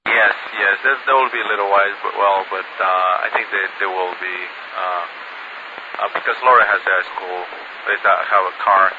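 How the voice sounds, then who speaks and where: Very low-voiced man on a hissy radio. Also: steady tone. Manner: halting, many pauses and restarts. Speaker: radio caller. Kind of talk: two-way radio chat. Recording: lo-fi radio link with static hiss.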